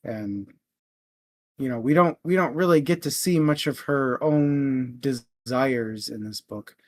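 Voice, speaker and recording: Unhurried man discussing books, dry close sound. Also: medium pitch, a little mellow, no echo. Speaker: book discussion show host. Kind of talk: casual book discussion.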